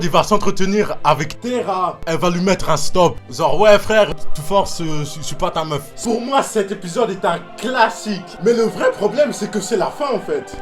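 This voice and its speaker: Animated young man ranting in slang. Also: high-pitched. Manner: animated, slangy, emphatic rants. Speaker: video essayist. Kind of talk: casual slangy commentary.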